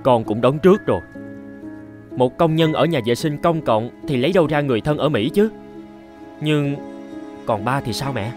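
A man playing a resentful, ambitious teenage son from a poor home in an animated fairy tale, with an accusing, impatient manner. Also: mid-range voice, moderate pace, long pauses, soft background music, close to an everyday voice. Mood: tense, bitter.

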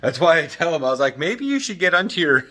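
Male vlogger, thirties, bright forward voice, loose rambling chat with joking asides, handheld camera at home. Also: fairly high pitch, very animated, fast. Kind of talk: casual chatty vlog. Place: apartment living room and open kitchen. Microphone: handheld camera at arm's length.

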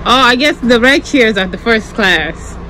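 A woman with a slightly high voice narrates her walk through an old train car in a chatty, observant way. She is recorded on a phone, and steady noise sits under her voice. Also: measured pace, rough recording. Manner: chatty, observational, laughs often. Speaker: vlogger. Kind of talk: casual narrating tour. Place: inside a vintage train car.

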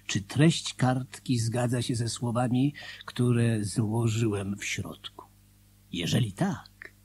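An actor in a radio drama playing a solemn, grateful former gambler, confiding in a husky, whispery voice. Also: male voice, medium pitch, warm tone, exaggerated voice. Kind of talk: Polish radio drama monologue, dramatic reading.

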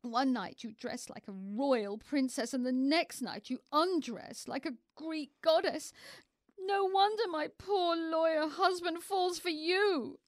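An actress playing an earnest, naive young wife in a radio play, with a plaintive, theatrical delivery. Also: female voice, high-pitched, takes it slowly, no echo, clean studio sound. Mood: indignant, self-pitying.

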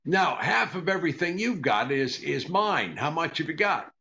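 Gravelly deadpan old radio husband, played by a man. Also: a little high, normal speed, slight background noise, exaggerated voice. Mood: dry, patient. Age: older. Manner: deadpan, hesitant with uhs. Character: dry, put-upon comedian husband doing income tax. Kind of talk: 1940s radio comedy acting.

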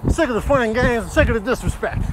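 Indignant old cartoon rooster, played by a man: very high voice, scolding rambles, noisy outdoor clip sound. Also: very fast. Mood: fed-up scolding. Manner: scolding, rambling, punctuated with questioning grunts. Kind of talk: comic animal voiceover.

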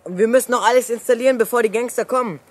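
A woman playing a resourceful, confident young boy who leads a gang in a children's radio play, speaking briskly. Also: on the high side, natural voice. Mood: decisive. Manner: brisk, commanding, decisive.

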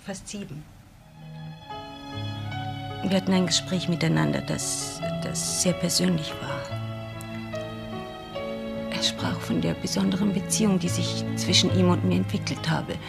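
An actress playing a devoted, admiring assistant to a professor in a crime radio play, earnest and subdued. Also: female voice, on the low side, unhurried, vocal fry, long pauses, over loud music.

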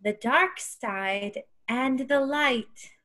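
A woman's voice: high-pitched, sharp tone, exaggerated voice. A woman playing a cryptic alien seer who speaks in solemn, oracular broken phrases. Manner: slow, oracular, broken syntax.

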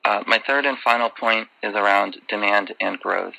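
A man delivering prepared earnings call remarks as a finance executive, in a formal, measured way. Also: fairly low pitch, creaky, pushed and bright, no room sound, low-quality recording.

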